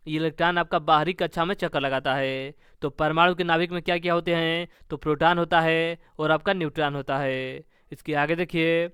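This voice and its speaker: A man's voice: fairly high pitch, a bit bright, clean tone, no echo, studio quality. A man teaching an exam-prep lesson, reading out questions and answering each in a repetitive, formulaic way.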